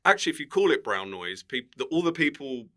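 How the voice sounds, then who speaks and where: A man with a bright, crisp-edged tone and a medium pitch chats casually on a podcast. He jokes drily and often chips in with quick interjections. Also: big pitch swings.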